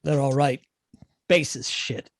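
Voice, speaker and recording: A man's voice: medium pitch, a little slow, no echo, studio quality, close to an everyday voice. A man playing a breezy, flippant comic sidekick with an offhand manner in a play reading. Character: breezy, laid-back comic sidekick, brandy-swigging and flippant. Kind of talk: table read of a comedy play.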